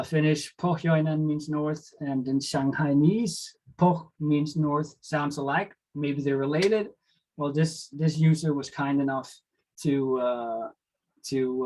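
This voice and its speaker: A man's voice: mid-range voice, poor audio. A man whose first language is Danish, a doctoral researcher giving an academic lecture over slides, often hesitating with fillers and restarts.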